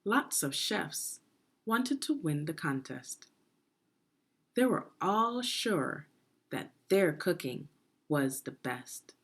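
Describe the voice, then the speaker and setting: A woman reading a children's story aloud in a deliberate, expressive teaching manner, putting questions to the listener. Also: fairly low pitch, breathy edge. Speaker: education consultant reading a children's book aloud.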